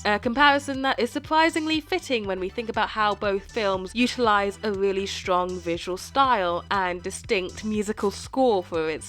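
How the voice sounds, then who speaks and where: Slightly hoarse woman narrating a film essay. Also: medium pitch, a bit bright. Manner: wry, playful asides. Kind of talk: scripted video essay narration.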